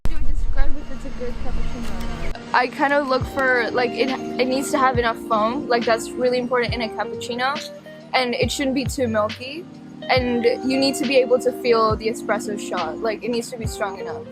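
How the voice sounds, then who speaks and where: A young woman with a fairly high voice chats informally as a student co-host. She explains her views in plain, casual language. Loud music runs behind her. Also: steady tone, fairly quick. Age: young adult. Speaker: student co-host reviewing coffee shops. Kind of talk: casual chat.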